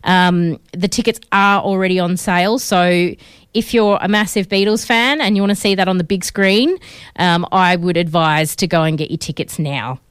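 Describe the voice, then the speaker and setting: A woman's voice: on the low side, steady tone, moderate pace, smooth, no room sound, clean studio sound. An Australian woman in her thirties hosting a radio film show, chatting casually with many fillers, her voice a bit crackly. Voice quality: slightly crackly voice. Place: radio studio. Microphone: studio mic.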